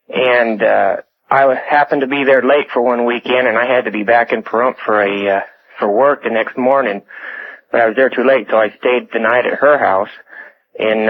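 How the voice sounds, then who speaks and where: A young American man phoning a radio show to tell a personal story casually, in a halting, hesitant way over a telephone line. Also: medium pitch. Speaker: phone-in caller. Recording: tinny phone line on radio.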